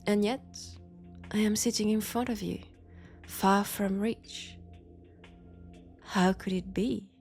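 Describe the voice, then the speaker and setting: A woman with a French accent playing a menacing, seductive female vampire in an intimate roleplay audio drama, softly taunting and often whispering. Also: medium pitch, steady tone, slow, pauses often, soft background music. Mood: dominant, threatening.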